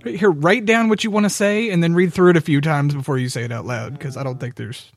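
A man's voice: fairly high pitch, fairly even intonation, fast, dry recording. A man co-hosting a podcast in casual chat, with dry, wry humour. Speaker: podcast co-host.

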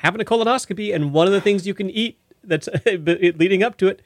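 A man's voice: sits high, brisk, dry recording, clean studio sound. A man in his fifties hosting a radio show, chatting casually and announcing music.

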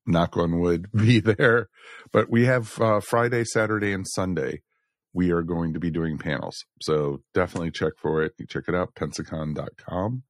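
Low-voiced American man hosting, dry sound. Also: no room sound. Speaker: podcast host. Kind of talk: casual, chatty podcast hosting.

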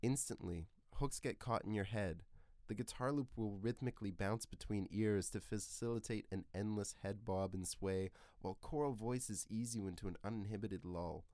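A man's voice: fairly low pitch, moderate pace, dark tone, no room sound, studio quality. A man hosting a podcast, reading scripted narration in an earnest, literary way. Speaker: podcast host.

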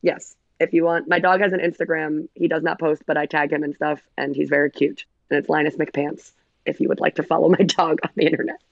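A raspy-voiced woman, a podcast guest chatting casually, slightly hoarse. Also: deep voice, level tone, brisk, dry recording, highs cut off. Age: 30s. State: recovering from covid, hoarse. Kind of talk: casual chat.

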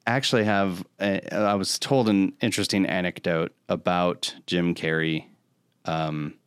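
A man co-hosting a podcast, chatting casually and telling anecdotes in an informal, halting way with repeated words. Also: deep voice, steady tone, clear, resonant tone, dry recording. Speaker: podcast co-host.

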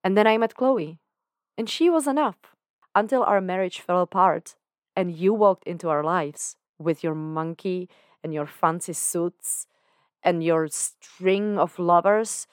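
A woman's voice: lowish voice, unhurried, no echo, studio quality, pitched above the performer's own voice, natural voice. A woman voicing an emotional young man who talks in an earnest, candid way with casual slang. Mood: confessional. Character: young man, emotional and confessional, self-doubting.

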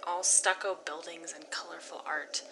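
A woman's voice: deep voice, fairly even intonation, normal speed, vocal fry, noisy background. A young woman in an audio drama leaving a chatty, upbeat voicemail for her mother. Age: young adult. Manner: chatty, upbeat, a little breathless.

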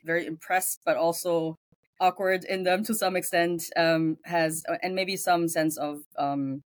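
A woman with a German accent, a theologian answering interview questions thoughtfully, often pausing to search for words. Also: on the low side, fairly even intonation, dry recording. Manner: hesitant, many ums, searching for words. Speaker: guest theologian.

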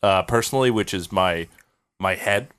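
A man's voice: low-pitched, normal speed, a bit bright. A man hosting a podcast in casual, joking banter, with a congested, nasal voice. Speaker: podcast host.

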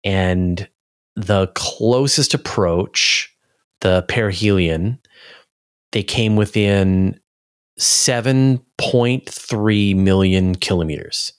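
A man speaking as an interview guest, explaining in a casual, enthusiastic way and thinking aloud as he goes. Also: low voice. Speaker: interview guest, space commentator.

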